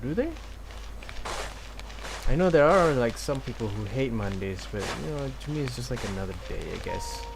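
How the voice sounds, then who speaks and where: A man streaming a game, chatting casually and reading dialogue aloud in a hesitant, halting way. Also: mid-range voice, lively intonation, background noise. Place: live game stream, game music behind.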